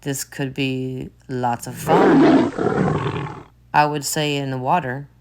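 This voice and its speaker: A woman teacher reading a children's picture book aloud, expressive, with soft whispered asides. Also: a bass voice, takes it slowly, very noisy.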